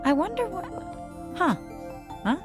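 A woman's voice: extremely high pitch, big pitch swings, unhurried, music in the background, full character voice. An actress playing a friendly, bewildered woman in a radio drama, speaking hesitantly. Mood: confused. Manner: hesitant, puzzled. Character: bewildered, friendly female coworker.

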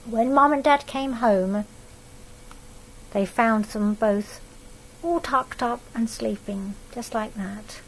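Middle-aged female storyteller, slightly high pitch, unhurried picture-book read with asides; noisy home recording. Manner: expressive storytelling that pauses to comment on the pictures. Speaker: storybook reader for young children. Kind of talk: reading a picture book aloud, with asides to young listeners. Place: living room at home, indoors.

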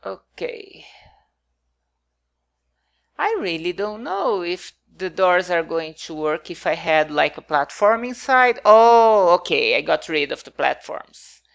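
A woman's voice: lowish voice, very animated, slow pace, vocal fry, lo-fi sound. A woman whose first language is not English, talking casually over her game build in a hesitant, rambling way with many fillers.

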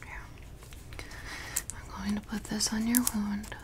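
A young woman in ASMR roleplay as a mysterious forest witch who tends a lost traveler, whispering softly close to the mic and repeating words soothingly in a small dim room. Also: mid-range voice, flat delivery, takes it slowly, background noise, natural voice. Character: mysterious, teasing forest witch woman, caring yet ominous. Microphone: close studio mic.

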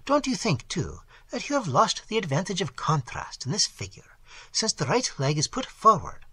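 A reader voicing a vain, self-important French artist man with a French accent, lecturing in a husky voice. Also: mid-range voice, very animated, moderate pace, pushed and bright, dry recording, pitched above the performer's own voice, heavily acted. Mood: critiquing.

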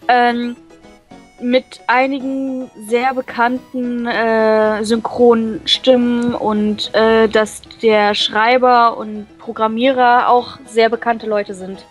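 Slow, hesitant woman. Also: on the high side. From Germany. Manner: hesitant, many fillers, laughs often. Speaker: reader of a visual novel. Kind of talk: reading aloud and translating, casual chat.

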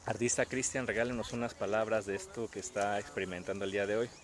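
A man's voice: lowish voice, steady tone. A man hosting casual interviews outdoors, upbeat and encouraging. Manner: upbeat, encouraging, quick affirmations. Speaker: host and interviewer. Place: outdoor park. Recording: crowd chatter behind.

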